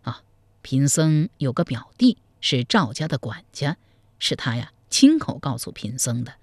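A man storyteller voicing a sly, scheming male Buddhist monk who counsels in a slow, hushed manner. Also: medium pitch, very animated, no room sound, pitched below the performer's own voice. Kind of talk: storytelling, acted dialogue.

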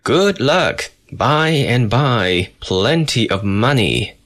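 A man voicing an old street fortune teller in a storybook reading, speaking slow, halting broken English. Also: medium pitch, lively intonation, fairly bright tone. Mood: flattering.

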